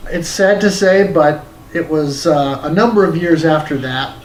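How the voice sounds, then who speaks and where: A man's voice: fairly high pitch, measured pace, slight background noise, poor audio. A man reading a scripted video game review as its narrator.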